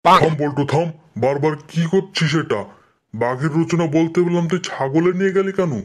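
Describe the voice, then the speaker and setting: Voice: medium pitch, normal speed, close to an everyday voice. A performer voicing a stern, sarcastic male schoolteacher in a cartoon comedy, scolding his pupils. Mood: exasperated.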